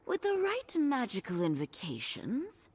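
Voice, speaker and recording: Animated, determined wizard. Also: female voice, fairly high pitch, a little slow, husky, exaggerated voice. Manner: formal, assured. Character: determined, proud female wizard scholar. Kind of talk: fantasy game voice acting.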